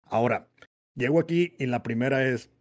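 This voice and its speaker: A man's voice: mid-range voice, normal speed. A man from Monterrey with a Mexican Spanish accent, a guest on a video-call interview, telling his story with many fillers. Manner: rambling, with many "eh" and "este" fillers. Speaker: guest homebrewer. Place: home room. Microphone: desk mic on video call.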